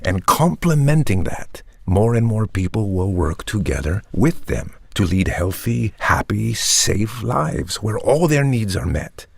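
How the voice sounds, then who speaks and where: A charismatic vampire tech mogul in an audio comedy drama. His voice is lowish and husky, recorded very dry. He pitches his grand vision in a measured, pompous, persuasive way. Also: big pitch swings, no room sound, heavily acted. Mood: visionary, persuasive. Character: charismatic, ambitious vampire tech entrepreneur, smooth visionary salesman. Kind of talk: dramatic monologue, polished pitch.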